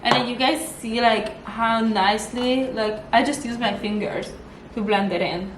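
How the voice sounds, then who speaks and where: A young woman hosts a casual makeup chat in a chatty, gossipy way, with a voice a little above average in pitch. She is recorded in an echoey room with faint noise underneath. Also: fairly even intonation, moderate pace. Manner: chatty, lots of "like" and "girl". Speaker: vlog host.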